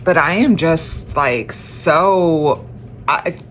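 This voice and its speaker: A young woman with a stuffy, congested voice, talking in a casual personal vlog, halting and tired, with many restarts and fillers. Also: sits low, slight background noise, rough recording. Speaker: vlogger.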